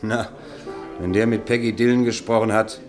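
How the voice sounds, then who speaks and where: Cheeky barfly over soft music, acted by a man. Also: on the low side, steady tone, moderate pace, close to an everyday voice. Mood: cheeky, chummy. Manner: cheeky, chummy. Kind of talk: radio drama acting.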